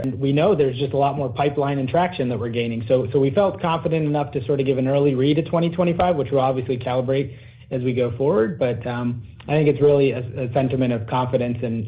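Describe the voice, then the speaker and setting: A man speaking as a company executive on an earnings call, in businesslike corporate phrasing. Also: mid-range voice. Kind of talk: earnings call presentation and answers. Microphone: conference call line. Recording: narrow phone-like conference line.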